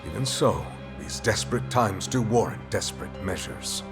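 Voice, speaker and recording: An actor playing a solemn, formal envoy of a queen in a fantasy game, eloquent and measured. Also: on the low side, expressive. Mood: urgent pleading. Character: solemn, courtly male envoy of a queen, steely beneath courtesy. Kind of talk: cinematic fantasy game acting. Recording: orchestral music behind.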